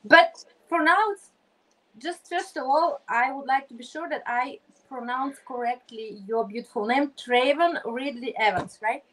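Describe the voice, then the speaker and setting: A woman's voice: high-pitched, moderate pace, fairly bright tone, poor audio. A woman hosting a podcast interview, speaking English as a non-native speaker with Ukrainian as her first language, effusive and chatty with frequent restarts and laughter. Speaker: podcast host.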